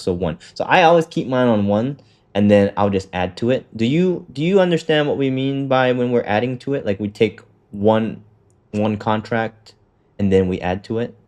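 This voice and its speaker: A man's voice: on the low side, darkish tone. A man coaching a student through a trading setup on a call, talking loosely with lots of fillers and the odd swear. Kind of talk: casual walkthrough on a call.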